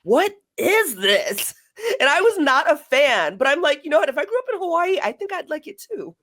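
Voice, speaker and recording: Bubbly, lively-voiced young woman host. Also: high-pitched, normal speed. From Los Angeles area. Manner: bubbly, giggly, chatty. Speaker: podcast host. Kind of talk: casual interview chat.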